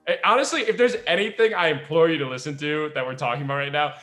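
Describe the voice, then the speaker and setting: A man chatting casually as a podcast host, telling stories in an animated way. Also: a little high, fast talker, soft background music. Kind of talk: casual talkback podcast chat.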